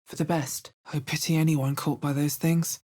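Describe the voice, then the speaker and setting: Low, husky, wry fantasy adventurer. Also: female voice, flat delivery, normal speed, a little mellow. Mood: wary, musing. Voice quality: bassy, husky. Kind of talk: video game character voice lines.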